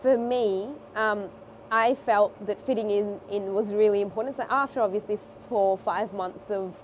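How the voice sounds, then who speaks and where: A woman's voice: medium pitch, fairly even intonation, normal speed, slight background noise. A young woman from Bangladesh with an Australian accent, speaking reflectively in a documentary interview and laughing easily.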